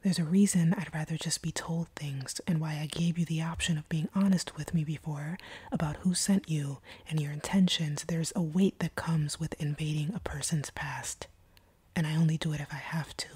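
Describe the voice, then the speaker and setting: A woman playing an ancient vampire captor, stern but softening, in a breathy, husky whisper for an intimate audio roleplay. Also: low voice, fairly even intonation, no room sound. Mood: guarded, firm. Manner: slow, deliberate, hushed.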